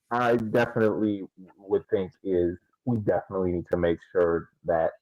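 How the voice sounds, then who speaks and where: Man, podcast co-host, low-pitched, halting soccer analysis, dry close sound, slightly muffled. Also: darkish tone, no echo. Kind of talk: casual soccer analysis talk.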